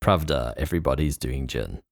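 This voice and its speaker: Very deep-voiced man hosting. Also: normal speed, vocal fry. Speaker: podcast host. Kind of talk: casual chat.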